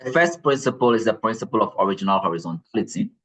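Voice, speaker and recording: Male lecturer, low and breathy, patient and repetitive classroom delivery. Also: very animated, fast talker, fairly bright tone, low-quality recording. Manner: repeats key points, checks in with "all right?". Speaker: geology lecturer.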